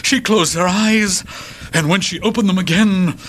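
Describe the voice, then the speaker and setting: A man's voice: sits high, normal speed, fairly bright tone. A man playing a sinister, theatrical horror storyteller, narrating a suspenseful tale. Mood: rising dread. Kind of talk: dramatic scripted narration. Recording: noisy old cassette transfer.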